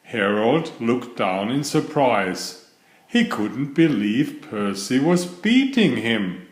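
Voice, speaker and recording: A man reading a children's bedtime story aloud in a deliberate, expressive storybook manner. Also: medium pitch. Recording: roomy, echoing voice recording.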